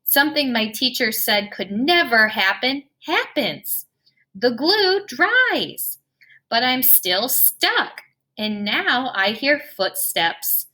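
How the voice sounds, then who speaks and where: A woman reads a children's picture book aloud with a bright, clear voice. Her pitch leaps and swoops, and she takes her time, drawing out the playful sound words. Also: sing-song, slow pace. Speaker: storybook reader.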